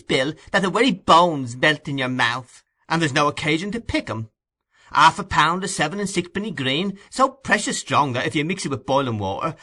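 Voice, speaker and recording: A man voicing a cheeky young thief who brags eagerly in a high voice. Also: a bit bright. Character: cheeky boy thief, excited and boastful.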